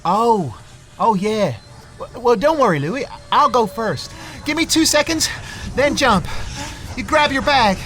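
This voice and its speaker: An actor playing a tough, plain-spoken young farm runaway in an audio drama, with a folksy way of talking. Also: male voice, very high voice, lively intonation, unhurried, fairly bright tone, soft background music, full character voice. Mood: urgent.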